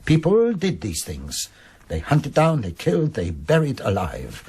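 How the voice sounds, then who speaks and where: An actor playing an earnest, troubled middle-aged husband in a radio drama, emphatic yet hesitant, with a raspy voice. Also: male voice, medium pitch, wildly swooping pitch, measured pace, a little mellow, heavily acted. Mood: troubled, ashamed.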